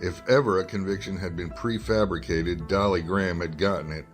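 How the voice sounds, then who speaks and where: A hard-boiled male reporter played by a man with a low, deep voice in a radio detective play. He is dogged and probing, with a wry touch. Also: steady tone, brisk, faint music behind, close to an everyday voice. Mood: reflective narration. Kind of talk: radio detective drama acting and first-person narration.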